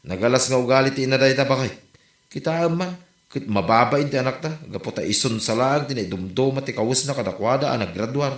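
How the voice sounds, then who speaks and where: A man's voice: medium pitch, a little fast, lightly acted. A man playing a blunt, gossipy villager in a comedy audio drama, grumbling conversationally with a northern Philippine accent. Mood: reproachful. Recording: roomy, echoey voice recording.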